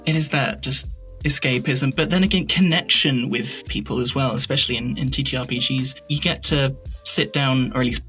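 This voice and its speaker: Guy being interviewed on a podcast, bright voice, thinks out loud and rewords stuff, bit of music underneath. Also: medium pitch, moderate pace. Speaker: podcast guest, fantasy audio drama creator. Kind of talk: casual interview chat about creative work.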